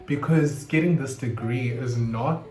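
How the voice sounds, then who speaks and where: An animated man in his twenties talks casually to camera at a mid pitch. The sound is roomy, with soft guitar music playing underneath. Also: unhurried. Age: 20s. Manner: animated, with sudden high squeals and laughs. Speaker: vlogger. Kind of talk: casual confessional chat to camera. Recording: roomy echo, faint guitar music behind. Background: faint guitar music.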